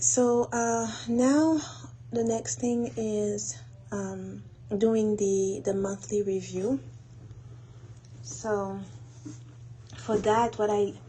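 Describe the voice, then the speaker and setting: A woman talking casually and haltingly as she thinks aloud through her planning in an audio log. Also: mid-range voice, gravelly, warm tone, rough recording. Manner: unhurried, halting, thinking aloud while doing tasks. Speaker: host of a planning audio log for a women's group. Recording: noticeable background noise.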